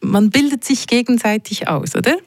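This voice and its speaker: A woman's voice: on the high side, expressive, normal speed, dry recording, studio quality. A woman hosting a regional radio talk show, encouraging her guest and paraphrasing her answers. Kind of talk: radio interview.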